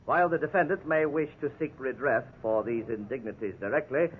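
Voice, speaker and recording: An American actor playing an indignant defense attorney in a 1950s radio drama, speaking in formal, oratorical phrases. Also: male voice, medium pitch, a little fast, husky, close to an everyday voice. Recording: tinny 1950s radio recording.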